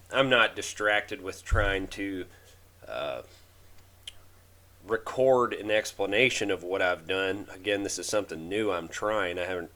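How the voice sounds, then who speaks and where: Raspy low-voiced man with a cold. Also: fairly bright tone. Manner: halting, many fillers and restarts. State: hoarse from a head cold. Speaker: DIY channel host. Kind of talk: casual voice-over narration of a restoration video.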